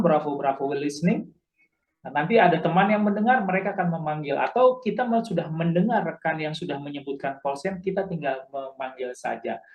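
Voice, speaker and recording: A man with a fairly high voice explains things as an instructor. His delivery is informal and a little halting, with key points repeated. The sound is slightly muffled and lo-fi. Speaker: amateur radio instructor. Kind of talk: informal spoken tutorial.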